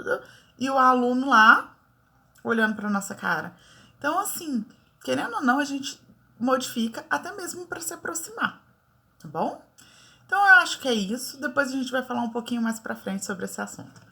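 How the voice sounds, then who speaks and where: A Brazilian woman teacher giving a relaxed lesson, talking in a chatty way and often checking in with her students. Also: a little high. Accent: Brazilian Portuguese. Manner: chatty, many fillers like "né" and "tá". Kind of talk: casual online lesson.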